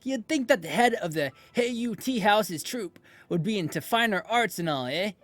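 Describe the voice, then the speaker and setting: A brash young rocker woman from a game, put on by a man, pitched up and bright. The talk is slangy, chatty and conspiratorial, like folksy gossip. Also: animated, moderate pace. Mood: playful gossip. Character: brash, folksy young female rock musician sharing gossip.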